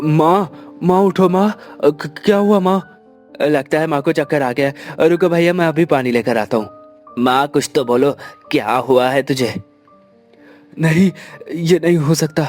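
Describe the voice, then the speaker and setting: A man's voice: fairly high pitch, darkish tone, natural voice. A man voicing a dutiful, caring adult son in a Hindi cartoon village story, with earnest, dramatic delivery. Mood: shocked, grieving.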